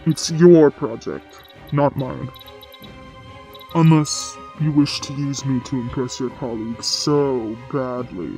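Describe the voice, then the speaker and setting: A man voicing a proud, aloof dark warrior in a sci-fi comic dub, sardonic and sneering in his delivery. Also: mid-range voice, slow, faint music behind. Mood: mocking, contemptuous. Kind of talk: dramatic voice acting in a comic dub.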